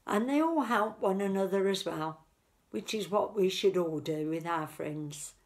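A woman's voice: medium pitch, lively intonation, measured pace. A woman in her fifties telling a picture-book story as a nursery teacher, explaining simply to small children. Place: small living room, indoors.

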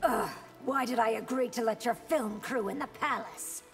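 An actress voicing a scornful, proud young warrior princess in a video game, speaking in a formal, haughty way. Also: female voice, fairly high pitch, normal speed, long reverb tail, faint music behind, natural voice. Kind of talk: video game fight-intro banter.

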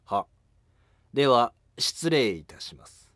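A man playing an obsequious informant at an ancient Japanese court, humble and formal, in a historical animated drama. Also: medium pitch, slow pace, dry recording. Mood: respectful, earnest.

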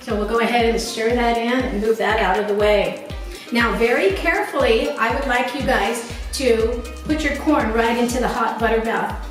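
A woman in her forties demonstrates a recipe at her kitchen counter, walking viewers through each step in a bright, chatty way. Her voice sits a little high. The kitchen rings with heavy echo, and she sounds a bit off the mic, with faint music underneath. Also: moderate pace. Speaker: cooking show host. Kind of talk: cooking demonstration to camera. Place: home kitchen, indoors. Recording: very echoey kitchen, voice a bit distant.

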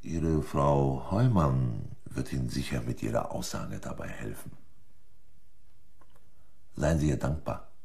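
A composed, formal examining magistrate in a crime radio play. His low voice moves with animated intonation. He speaks with measured, condescending precision and a creaky edge. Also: full character voice. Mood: condescending, conclusive. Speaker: actor.

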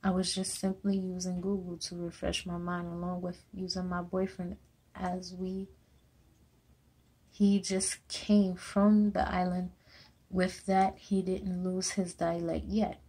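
Young Jamaican woman, slow halting reading. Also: on the low side, flat delivery, warm tone, husky. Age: young adult. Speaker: student. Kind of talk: reading a personal essay to camera. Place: small indoor room at home. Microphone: webcam or laptop camera close up.

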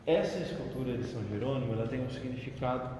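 A man's voice: medium pitch, fast, mellow. A man with a Brazilian accent giving an informal, explanatory guided tour, often adding small check-in tags as he explains. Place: large reverberant crypt indoors. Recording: very echoey stone crypt, distant, faint noise.

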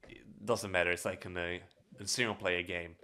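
A man's voice: low voice, steady tone, normal speed, pushed and bright. A young man in his twenties with a Dutch accent, chatting casually on a live stream into a studio mic, rambling with lots of fillers and swearing. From Netherlands. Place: small dark room indoors. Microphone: studio mic on a boom arm.

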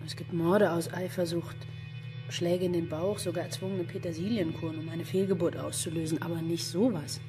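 An actress playing a sharp, unimpressed female police investigator with a dry manner in a crime radio play. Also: fairly low pitch, fairly even intonation, moderate pace, over music, close to an everyday voice. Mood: disturbed, pressing. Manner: dry, matter-of-fact, wry. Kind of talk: German crime radio drama acting.

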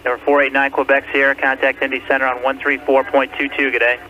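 Fast, flat-voiced male air traffic controller on radio. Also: medium pitch. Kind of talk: clipped radio phraseology. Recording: muffled, noisy air traffic radio recording.

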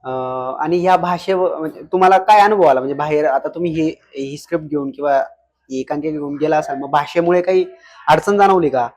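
A man's voice: a little high, fast, a little mellow. A man interviewing a guest in a conversational style, putting questions and comments as the interviewer.

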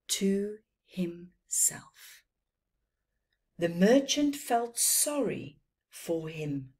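A woman's voice: lowish voice, warm tone. A middle-aged woman with a South African accent and Afrikaans as her first language, reading a story to children emphatically with teacherly asides. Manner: slow, deliberate, emphatic delivery with teacherly asides. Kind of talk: expressive read-aloud storytelling to children. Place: small plain room at home, indoors.

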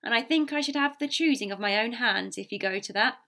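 A woman voicing a gruff, blunt, stern male ship's captain in an audiobook, with curt, formal seaman's speech. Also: a little high, brisk, bright, forceful tone. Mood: blunt, disapproving. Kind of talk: audiobook character voice.

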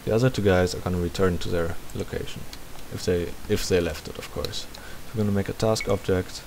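A man's voice: low-pitched, fairly even intonation, a little fast, vocal fry, a little mellow. A man hosting a game tutorial, thinking aloud in a casual commentary as he works. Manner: thinking aloud while working. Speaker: tutorial host. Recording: faint background hiss.

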